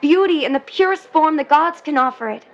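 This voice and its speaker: A woman's voice: sits high, expressive, brisk, dull audio, a little hiss or hum. A woman playing an earnest, romantic young sweetheart in a 1950s audio drama, speaking in a polite, dreamy, poetic way. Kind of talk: vintage-set audio drama acting.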